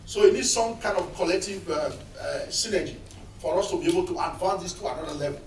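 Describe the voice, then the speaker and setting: Passionate high-voiced filmmaker. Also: male voice, fast talker, darkish tone, slight background noise. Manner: passionate, appealing. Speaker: filmmaker on the panel. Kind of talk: panel remarks at a film Q&A. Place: cinema auditorium. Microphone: point-and-shoot camera across the room. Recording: echoey hall, distant camera mic.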